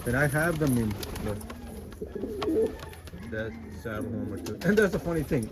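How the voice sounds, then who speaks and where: A man's voice: mid-range voice, lively intonation, normal speed. A man from India giving a casual, rambling tour of his backyard pigeon loft, full of fillers and restarts. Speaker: pigeon keeper showing his birds. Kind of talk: casual vlog walkthrough. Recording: loud bird noise outdoors.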